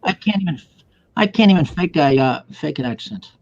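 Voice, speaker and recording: Hesitant older man, slightly high voice. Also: lively intonation, moderate pace, gravelly, a little mellow, a little hiss or hum. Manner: hesitant, repeats himself. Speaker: chat participant. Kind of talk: casual group chat. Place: remote online call. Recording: dull online call audio.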